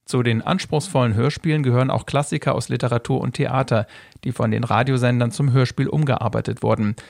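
A man narrating a scripted radio documentary in a measured, factual way. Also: mid-range voice, fairly even intonation, creaky, darkish tone.

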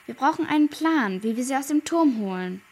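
A woman playing a plucky, eager girl who briskly organises her friends in a German children's radio drama. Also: high voice, animated, a little mellow. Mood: eager, determined.

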